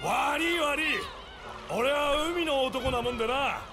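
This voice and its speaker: A man voicing a gruff ship captain in a visual novel, rough and barking. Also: very high-pitched, moderate pace, noisy background. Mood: friendly. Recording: game audio with clear music.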